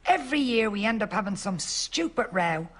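An actress playing an exasperated but affectionate grown-up daughter, with an Irish accent, in a sitcom. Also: female voice, medium pitch, expressive, moderate pace, lightly acted. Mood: weary. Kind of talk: sitcom acting.

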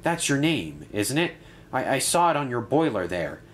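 A man's voice: medium pitch, sing-song, normal speed, slight background noise, voice pushed up, natural voice. A man voicing a nervous male runaway diesel engine that stammers and pleads. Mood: pleading.

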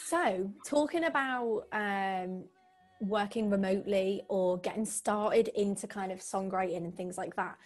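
A woman's voice: medium pitch, unhurried. A young British woman hosting an interview over a video call, asking long rambling questions with many fillers. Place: home room indoors. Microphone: video call webcam.

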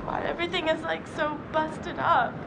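Sarcastic young woman, bossy, high voice, game cutscene, loud ambience behind. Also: fairly even intonation, normal speed, creaky, heavy background noise. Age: young adult. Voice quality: sarcastic, mocking. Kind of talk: video game cutscene acting.

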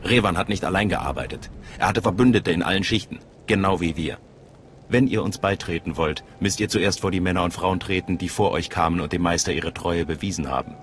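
An actor playing a stern, sceptical gatekeeper of a cult in a video game, measured and authoritative. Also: male voice, low voice, a bit bright, a little hiss or hum. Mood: stern, instructing. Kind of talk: video game voice acting in German. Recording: faint outdoor ambience behind.

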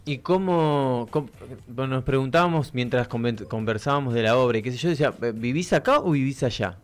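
A man's voice: mid-range voice, a little fast, soft background music. A man in his thirties with an Argentine accent, hosting a casual, colloquial radio interview on a studio microphone. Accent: Argentine (Rioplatense). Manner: informal, colloquial. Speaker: radio host. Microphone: close studio microphone with headphones.

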